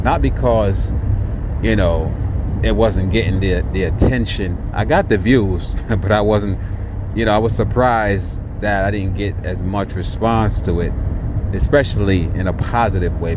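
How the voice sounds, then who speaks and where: A man from Jamaica with a Jamaican accent, talking bluntly and emphatically in a preachy vlog, often restarting his sentences. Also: on the low side. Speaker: vlogger. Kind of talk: casual preachy monologue. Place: inside a moving car. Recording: car noise while driving. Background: road and car noise.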